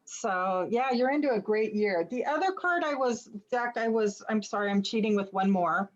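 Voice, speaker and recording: A woman's voice: on the high side, lively intonation, normal speed. A woman giving a casual, conversational reading as a tarot reader over a video call, reassuring and encouraging. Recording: slightly muffled video call audio.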